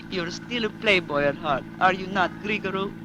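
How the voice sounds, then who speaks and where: Through clearly noisy vintage radio sound comes an actress as an imperious young woman kidnapper. She gives orders in formal, foreign-sounding phrases. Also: mid-range voice, expressive, normal speed, lightly acted. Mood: teasing, insistent. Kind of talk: old-time radio drama acting. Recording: noisy old radio recording.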